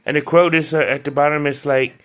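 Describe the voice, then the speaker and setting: Brief: man, casual toy reviewer, slightly higher voice, rambling and chatty. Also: fairly even intonation, a little fast, fairly bright tone. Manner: chatty, loose, with frequent restarts and fillers.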